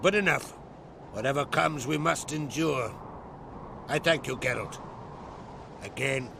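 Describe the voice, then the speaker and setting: A man playing a proud, weary middle-aged island chieftain in a video game, solemn and blunt, with a gravelly voice. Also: medium pitch, a little slow, noisy background. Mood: grave, resolute. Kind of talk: dramatic video game acting.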